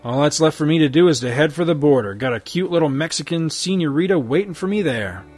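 A man's voice: a little high, lively intonation, quick pace, darkish tone, faint music behind, voice pushed up. A man doing a sly crooked frontier sheriff. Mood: smug. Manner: folksy drawl.

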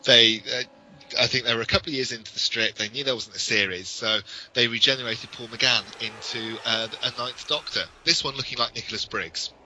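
A man's voice: mid-range voice, fairly even intonation, a little fast, bright, forceful tone, a little hiss or hum, low-quality recording. A British man co-hosting a podcast, giving detailed, enthusiastic, informal explanations. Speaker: podcast co-host.

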